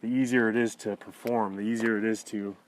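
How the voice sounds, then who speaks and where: A man's voice: fairly low pitch, flat delivery, brisk, a little hiss or hum. A man vlogging in a casual, conversational and reflective way, talking to viewers and often checking in with "you know". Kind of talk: casual personal talk to viewers.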